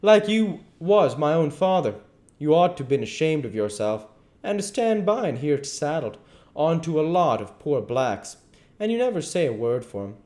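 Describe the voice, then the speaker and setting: Man as a scornful, domineering swindler, animated and high. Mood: bitter scorn. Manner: brisk, scolding, folksy rural speech. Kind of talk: dramatised audiobook reading.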